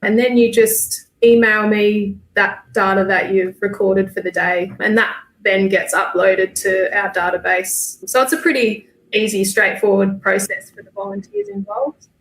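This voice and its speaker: Casting: Australian woman, mid-pitched, casually explaining as an interview guest, recorded in a reverberant room. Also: normal speed, vocal fry. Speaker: volunteer bird count coordinator. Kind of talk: casual interview answers on a livestream. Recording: roomy, echoey sound.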